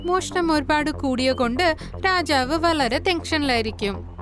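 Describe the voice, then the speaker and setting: A woman narrating an animated moral story in a simple, colloquial way, with background music. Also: high voice, measured pace.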